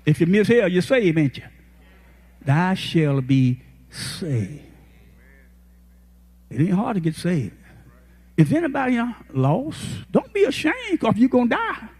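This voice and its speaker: Husky old man preaching with fervor. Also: high-pitched, very animated, a little slow, long pauses. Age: 80s. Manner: impassioned, folksy preaching with shouted peaks. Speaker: pastor. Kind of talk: sermon.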